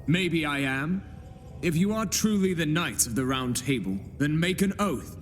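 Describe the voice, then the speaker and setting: A devout, tearful knight whose voice rides high and swings through a lively range. He speaks in a solemn, earnest way, and a long hall reverb trails each line over music. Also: unhurried. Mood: wary. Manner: solemn, pious, emotional delivery. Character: pious, tearful male knight, middle-aged, humble yet pleading. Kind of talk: game voice acting.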